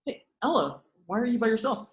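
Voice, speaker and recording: A woman's voice: a little high, sing-song, fairly quick, reverberant, highs cut off. A woman playing a good-natured, hesitant young college guy in a comedy table read. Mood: puzzled. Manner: hesitant, puzzled, earnest.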